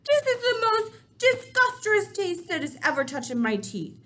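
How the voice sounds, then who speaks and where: A woman's voice: fairly high pitch, very animated, unhurried. A woman voicing a huge, greedy male giant who roars and mangles his words. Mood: angry bellowing. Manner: roaring, shouting, mangled words.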